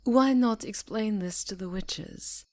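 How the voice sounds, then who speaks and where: A woman's voice: mid-range voice, slow pace, warm tone, pitched above the performer's own voice, lightly acted. A woman playing a regal, coolly civil vampire countess in an ASMR roleplay, with a formal, imperious delivery. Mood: cool, challenging.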